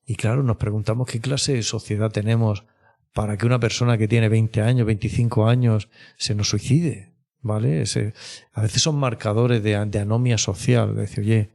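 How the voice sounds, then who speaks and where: Low, creaky-voiced man, reflective. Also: fairly even intonation, normal speed, darkish tone. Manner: hesitant, reflective, frequent question tags. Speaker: mental health expert guest. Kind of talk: explanatory podcast interview.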